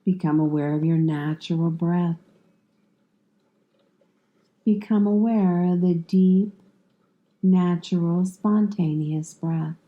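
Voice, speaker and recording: A woman leading a guided meditation as a yoga nidra instructor, giving calm, soothing instructions in measured phrases with long pauses. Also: fairly low pitch, phone-quality sound.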